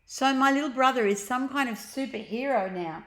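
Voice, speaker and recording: A woman voicing an excitable, teasing and affectionate girl in a children's storybook, full of exclamation. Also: high voice, moderate pace, voice pushed up. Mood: amazed. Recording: roomy, echoing sound.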